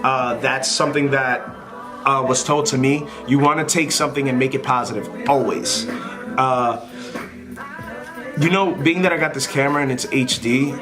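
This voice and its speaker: A man giving casual, unscripted advice to a camera as a vlogger, in a rambling, informal and apologetic manner. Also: medium pitch.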